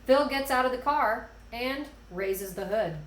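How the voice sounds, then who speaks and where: A woman's voice: fairly high pitch, measured pace. A woman reading scene directions as scripted narration at a screenplay table read. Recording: roomy echo.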